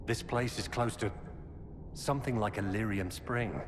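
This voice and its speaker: A man's voice: fairly low pitch, moderate pace, lightly acted. Man playing a grave former knight, echoing cavern. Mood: grave, weary. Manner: earnest, grave, urgent. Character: weary earnest former knight turned defector, grimly warning. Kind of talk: dramatic video game dialogue. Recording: cavernous reverb with loud background noise.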